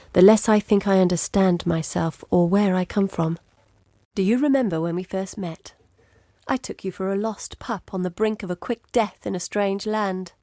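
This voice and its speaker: Casting: actress as inquisitive young female scholar-explorer for a video game; earnest, measured, mid pitch, close dry recording. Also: darkish tone, no room sound, lightly acted. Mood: reflective, sincere. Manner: articulate, measured, thoughtful. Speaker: game character, scholar and map researcher. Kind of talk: scripted video game dialogue.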